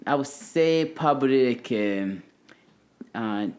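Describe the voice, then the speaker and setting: A young man with a Rwandan accent, a guest on a podcast, speaking in an easygoing, halting way. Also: medium pitch, lively intonation, darkish tone. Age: young adult. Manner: halting, easygoing, non-native grammar. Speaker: podcast guest, college runner. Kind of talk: casual podcast chat.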